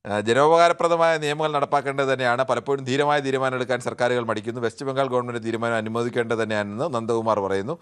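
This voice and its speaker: A man's voice: mid-range voice, steady tone, fast talker, fairly bright tone, smooth. A man hosting a live discussion show, reading out viewer comments and answering them argumentatively. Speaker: TV phone-in discussion host.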